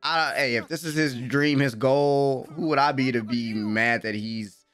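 A young American man giving excited, slangy reaction commentary at home on a close microphone, with an exclamatory manner. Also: mid-range voice, measured pace, soft background music. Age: young adult. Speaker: reaction video host. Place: small room at home. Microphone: close desk microphone with headphones.

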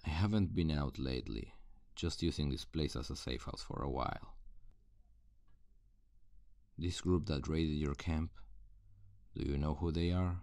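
A man playing a wary, threatening survivor of a zombie apocalypse in an ASMR audio roleplay, gravelly and menacing as he interrogates. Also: very deep voice, darkish tone, no room sound, studio quality, exaggerated voice. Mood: commanding, guarded. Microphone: close, dry studio-style microphone.

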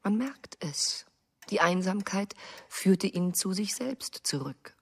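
An actress playing a genteel, effusive American wife in a German radio drama, with husky, affected, theatrical delivery. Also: female voice, medium pitch, expressive, slow, full character voice. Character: genteel, effusive American wife reminiscing, dominating her husband.

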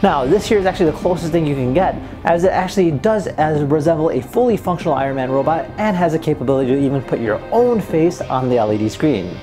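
A man's voice: a little high, lively intonation, fast, hardly any pauses, music in the background. A young adult man presenting a casual product unboxing and review in an upbeat, conversational way, indoors in a small room. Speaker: host of an unboxing and review video.

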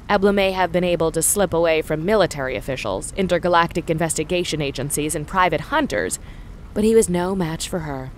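Low-voiced woman narrating a story. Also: slight background noise. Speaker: audio drama narrator.

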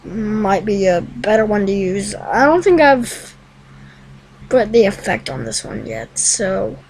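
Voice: mid-range voice, big pitch swings, slow pace, slight background noise, poor audio. A young teenager hosting a casual screen-recorded tutorial in a rambling, hesitant way. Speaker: tutorial host. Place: room at home.

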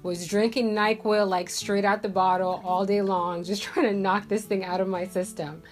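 A woman's voice: medium pitch, flat delivery, moderate pace, faint music behind. A woman in her thirties from Jamaica, congested from a cold, chatting casually to camera in her bedroom with lots of fillers. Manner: chatty, rambling, many "like" and "um" fillers. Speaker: beauty vlogger. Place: bedroom at home, indoors.

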